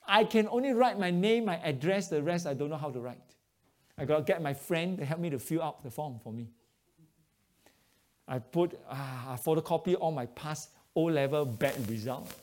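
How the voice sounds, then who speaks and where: Malaysian guy telling his testimony at church, choppy english, fairly high voice. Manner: broken English, animated storytelling. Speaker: preacher giving testimony. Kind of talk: testimony sermon.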